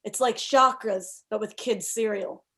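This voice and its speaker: A woman's voice: mid-range voice, unhurried, a bit bright, natural voice. A woman playing a zealous, quirky, scheming campaign manager in a comedy script read. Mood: intense, matter-of-fact.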